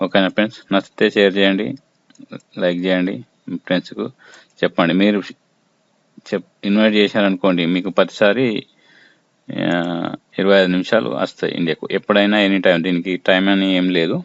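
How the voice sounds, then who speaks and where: Low monotone man, muffled recording. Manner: halting, explains step by step in short phrases. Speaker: video tutorial presenter. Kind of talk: informal app tutorial. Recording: muffled lo-fi audio with faint noise.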